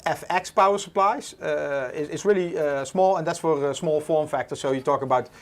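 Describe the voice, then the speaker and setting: Dutch guy hosting a livestream, kinda high voice, lots of uhs, easygoing. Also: normal speed. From Netherlands. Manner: relaxed, many "uh" fillers, reads chat aloud. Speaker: livestream host. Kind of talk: casual livestream chat.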